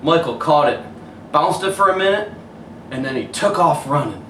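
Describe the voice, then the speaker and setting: A man reading a children's picture book aloud as a coach would, pausing to point out the pictures. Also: fairly high pitch, lively intonation, fairly bright tone. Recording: roomy, distant mic, faint hiss.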